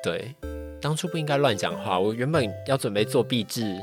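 A woman's voice: rumbling low, animated, a little fast. A woman with a Taiwanese Mandarin accent chatting casually as a podcast guest. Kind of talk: casual chat. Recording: music playing underneath.

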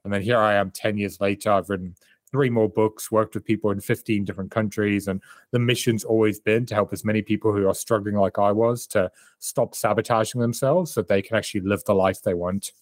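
A man's voice: on the low side, fairly even intonation, fairly quick, no echo. A man in his thirties from South Africa, a performance coach and author answering interview questions in a candid, reflective way over a remote call.

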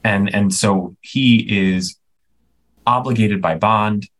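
A man co-hosting a podcast, thinking aloud with halting restarts as he searches for words. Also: on the low side, a bit bright. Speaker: podcast co-host. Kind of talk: casual discussion podcast.

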